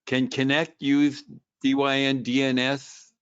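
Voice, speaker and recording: A man hosting a technical show on a video call, asking questions and summarizing answers with halting restarts. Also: medium pitch, completely flat delivery, smooth, no echo. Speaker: host. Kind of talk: interviewing a guest on a video call. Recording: dry, dull lo-fi video call audio.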